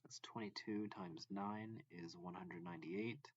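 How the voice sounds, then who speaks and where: A man reading a math lesson aloud as a teacher, deliberately and step by step, phrase by phrase. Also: on the low side, fairly even intonation, no room sound, lo-fi sound. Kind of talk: slow read-along lesson narration.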